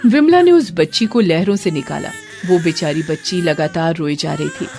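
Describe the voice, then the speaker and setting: A woman's voice: low voice, expressive, moderate pace, warm tone, faint music behind. A woman narrator delivering dramatic, scripted storytelling for a cartoon.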